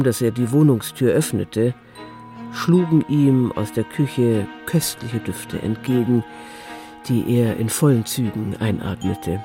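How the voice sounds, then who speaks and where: A male narrator tells a crime story in a radio drama. His voice is dark and mellow at a medium pitch, reading scripted prose with soft music behind him.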